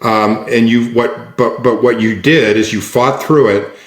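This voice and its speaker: A man coaching as a guest, explaining things in a measured, patient way and repeating phrases. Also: mid-range voice, steady tone. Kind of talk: explaining in an interview. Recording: echoey room.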